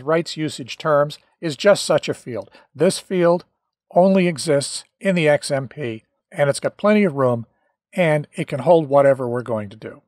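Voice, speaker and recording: A middle-aged man talking through a software tutorial, with relaxed explanations and dry little jokes. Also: on the high side, no room sound. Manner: relaxed, dryly joking explanations. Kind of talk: screen-recorded software tutorial.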